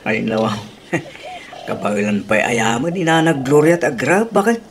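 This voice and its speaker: A woman voicing a gruff, doubting husband in a comedy radio drama, grumbly and full of questions. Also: very deep voice, sing-song, measured pace, slight background noise, full character voice.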